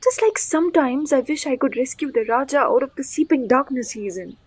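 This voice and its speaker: A woman's voice: high voice, lively intonation, fairly quick, slightly rough, no room sound. A woman playing a compassionate, bold young idealist in an audio play, with heartfelt and dramatic delivery. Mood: troubled wonder.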